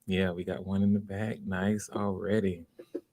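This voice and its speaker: A man hosting a live online audition stream in casual, halting, unscripted chat, welcoming and encouraging to his viewers. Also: low-pitched, little pitch movement, warm tone, slight background noise. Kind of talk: casual livestream chat, greeting viewers by name.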